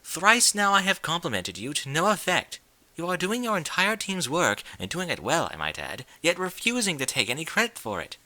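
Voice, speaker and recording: Prim, motherly lady pony, played by a man; pitched up, bright, refined and fussy storybook voice. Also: huge pitch swings, normal speed, no room sound, exaggerated voice. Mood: earnest, insistent. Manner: genteel, theatrical, chiding diction. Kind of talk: audiobook character voice.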